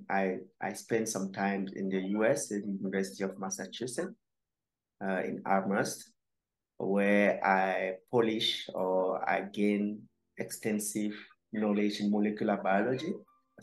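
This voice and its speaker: Hesitant man from Cameroon, low voice. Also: reverberant, rough recording. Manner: halting, many fillers and restarts. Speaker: guest scientist. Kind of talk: casual interview answers.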